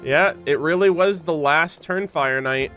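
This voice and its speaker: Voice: medium pitch, measured pace. A streamer giving casual live reactions to a game, slangy and joking. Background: faint game music.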